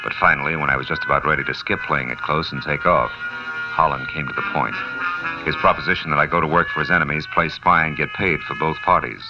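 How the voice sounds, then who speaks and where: Tough noir detective, very deep voice, sardonic, wisecracking, terse narration, muffled 1950s radio drama, music behind. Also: male voice, fairly even intonation, fast. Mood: tense storytelling. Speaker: narrator and lead character. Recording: dull old radio recording with music.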